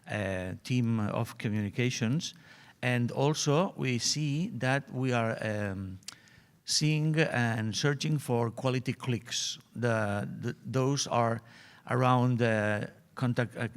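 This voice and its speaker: A man speaking as a university panelist at a conference, halting and searching for words. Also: medium pitch. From Spain. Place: conference hall.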